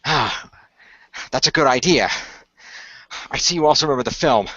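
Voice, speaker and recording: A man playing a composed sword-fighting hero who gives measured commands to his allies in an amateur radio play. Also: medium pitch, lively intonation, pushed and bright, no echo, dull audio. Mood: focused.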